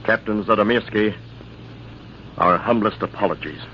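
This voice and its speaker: A man playing a brisk, formal army major, commanding in the manner of old-time radio drama. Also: on the low side, lightly acted. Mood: courteous, formal. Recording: dull, faintly noisy old radio transcription.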